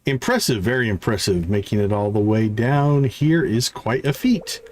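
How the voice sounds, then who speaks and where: A man voicing a mysterious, smug video game character in a measured, teasing, theatrical way. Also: fairly low pitch, darkish tone, a little hiss or hum, natural voice. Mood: approving, teasing. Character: mysterious, smug male game character praising a kid adventurer. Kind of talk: reading video game dialogue aloud.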